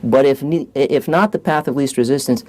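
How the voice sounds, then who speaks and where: A man's voice: mid-range voice, normal speed, darkish tone. A man giving an articulate public talk in a wry, wordy manner.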